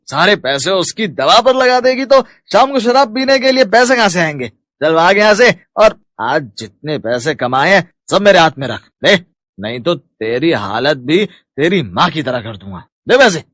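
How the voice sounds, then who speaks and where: A man's voice: on the high side, big pitch swings, normal speed, fairly bright tone, dry recording, clean studio sound. A man playing a bullying alcoholic husband and father in a melodramatic devotional story, coarse and threatening as he barks demands. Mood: angry, threatening.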